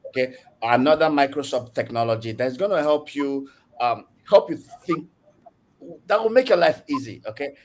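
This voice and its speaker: A man with a Nigerian accent giving a casual online tech lecture over a video call, chatty and repetitive, often checking in with "okay?". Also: mid-range voice. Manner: chatty, repeats words, frequent "okay?" check-ins. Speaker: guest speaker, tech community leader.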